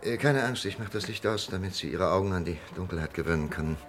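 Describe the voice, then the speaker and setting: An actor playing a persistent, probing doctor in a German radio crime drama, with an insistent, questioning manner. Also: male voice, deep voice, quick pace, close to an everyday voice. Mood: probing, controlled. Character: persistent, probing male doctor challenging a death sentence. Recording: faintly noisy vintage radio drama recording.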